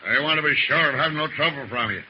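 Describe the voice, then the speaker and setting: An actor playing a menacing, smug old sailor villain in an old radio drama. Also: male voice, a little high, fast, close to an everyday voice. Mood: threatening. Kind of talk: 1940s radio acting. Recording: dull, noisy old radio recording.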